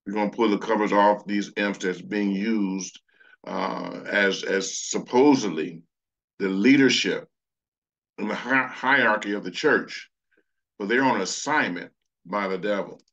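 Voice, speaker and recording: A man's voice: on the low side, moderate pace, a bit bright, highs cut off. A man preaching a sermon over slides, earnest, reading scripture passages aloud. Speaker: pastor.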